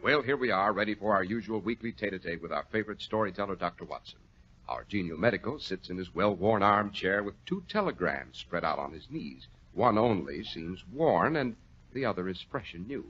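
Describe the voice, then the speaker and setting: A man's voice: sits low, animated, a little fast, husky. An American man hosting a 1940s radio show, speaking in a polished announcer's style with a salesman's delivery. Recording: dull vintage 1940s radio recording.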